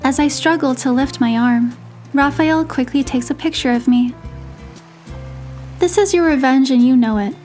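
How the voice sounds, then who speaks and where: Woman as high-voiced young heroine narrating. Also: normal speed, pauses often, darkish tone, soft background music, lightly acted. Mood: gentle storytelling. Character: young female heroine narrating her romantic trip. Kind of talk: scripted first-person story narration.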